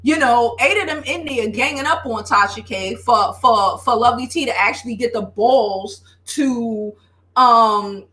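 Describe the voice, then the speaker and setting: A woman's voice: on the high side, measured pace, sharp tone, echoey room. An American woman giving casual gossip commentary on a livestream, talking in a sassy, blunt, slangy way. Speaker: YouTube livestream commentator and host.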